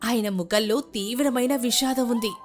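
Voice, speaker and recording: Lady narrator telling a dramatic moral story, high pitched, faint music behind. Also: moderate pace.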